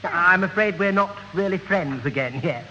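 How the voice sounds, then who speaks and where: Fussy, high-pitched gentleman. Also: animated, moderate pace. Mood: polite. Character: fussy, polite, exasperated English gentleman. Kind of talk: 1970 British radio sitcom acting. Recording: muffled, hissy old radio recording, reverberant.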